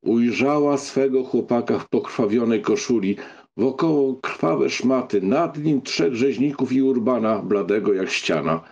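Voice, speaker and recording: A man narrating a literary audiobook in an expressive, scripted storytelling style. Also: medium pitch, unhurried, breathy edge, lo-fi sound.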